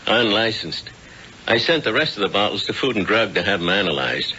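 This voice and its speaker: An actor playing a hard-nosed police lieutenant, blunt and businesslike, in 1970s radio drama style. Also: male voice, on the low side, lively intonation, brisk, bright, forceful tone. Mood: stern, determined. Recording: dull noisy old radio recording.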